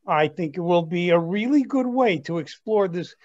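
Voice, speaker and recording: Dull audio, man acting eager, self-centered young grandson, lively swinging intonation, comedy read. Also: high-pitched, normal speed. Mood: eager, self-absorbed. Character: earnest, self-absorbed twenty-three-year-old grandson. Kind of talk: reader's theatre comedy.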